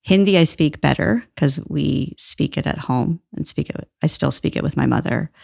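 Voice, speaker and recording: A woman's voice: deep voice, normal speed, creaky, darkish tone, no echo, studio quality. A middle-aged woman from Brazil, a lawyer guest on an interview podcast, speaking thoughtfully and laughing easily. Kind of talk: casual interview talk.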